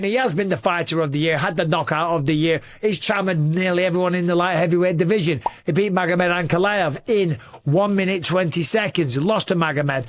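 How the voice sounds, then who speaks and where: A middle-aged man with an English accent, hosting a fast, shouty commentary monologue, his voice a little raspy. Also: sits high, dry recording, clean studio sound. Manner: talks very fast and shouts a lot. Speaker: host.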